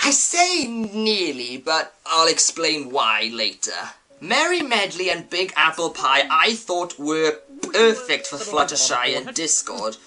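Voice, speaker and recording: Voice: mid-range voice, very animated, takes it slowly, sharp tone. A reviewer giving a scripted, opinionated commentary with emphatic delivery. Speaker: host, reviewer.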